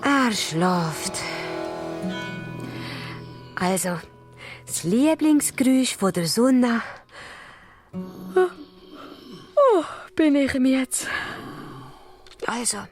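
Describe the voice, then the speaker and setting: A woman playing a small, lively male dwarf who muses aloud and asks questions, with a Swiss German accent, in a children's radio play. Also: a little high, drawn-out words, long pauses, soft background music, heavily acted. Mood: wondering, dreamy. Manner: musing aloud, asking questions.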